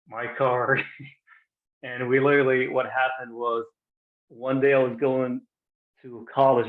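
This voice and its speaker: A man in his thirties from Iraq, a podcast guest telling his story in a halting, casual way with many restarts. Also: medium pitch. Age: 30s. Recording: tinny phone-like line.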